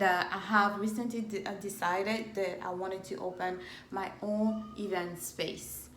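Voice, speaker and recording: Slow-speaking Cameroonian woman, echoey phone audio. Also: mid-range voice, slight background noise. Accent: Cameroonian French. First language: French. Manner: speaks slowly and deliberately, with restarts. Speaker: event planner and entrepreneur. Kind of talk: casual vlog talk to camera.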